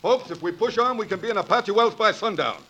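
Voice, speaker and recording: An actor playing a gruff, commanding American lawman in a 1940s radio western, blunt and folksy. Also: high-pitched, animated, fast, sharp tone, lo-fi sound, full character voice. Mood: decisive. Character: gruff, commanding frontier lawman riding shotgun.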